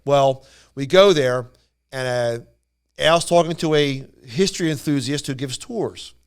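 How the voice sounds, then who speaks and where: A man casually telling anecdotes on a podcast in a rambling, animated way, acting out what people said. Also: medium pitch, unhurried, clean tone. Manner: rambling, animated retelling with acted-out quotes. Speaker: podcast storyteller.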